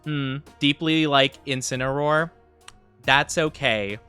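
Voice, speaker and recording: Male streamer, medium voice, teasing and mock-outraged with chat, slightly worn-out voice, soft music bed. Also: medium pitch, takes it slowly, smooth. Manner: playful and mock-offended, bursts of laughter. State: losing his voice. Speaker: VTuber streamer. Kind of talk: casual live-stream chat with viewers.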